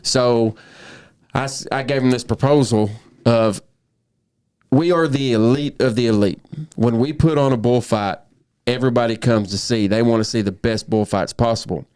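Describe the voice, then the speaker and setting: A man's voice: medium pitch, normal speed, a little mellow, clean tone, dry recording, clean studio sound. A man in his thirties, a professional bullfighter, telling his story earnestly in a casual podcast conversation, often restarting his sentences. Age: 30s.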